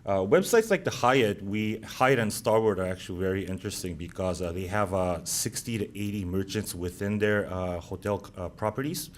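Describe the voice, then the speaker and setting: This is a man in his thirties, a native Japanese speaker with a Japanese accent, giving a seminar presentation to a room of listeners. His voice sits a little low. He speaks haltingly and fills his pauses with "uh". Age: 30s. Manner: halting, many "uh" fillers.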